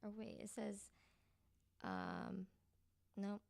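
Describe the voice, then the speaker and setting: A woman's voice: medium pitch, very animated, extremely slow, vocal fry, darkish tone, no echo. A woman telling stories in a casual, hesitant way as a podcast guest, as if piecing details together while reading. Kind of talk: casual storytelling chat.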